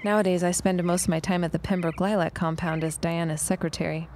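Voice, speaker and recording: A woman's voice: on the low side, level tone, fast, darkish tone, slight background noise, lightly acted. A woman playing a frank, plain-spoken, dryly witty working woman in a period audio drama. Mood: wry.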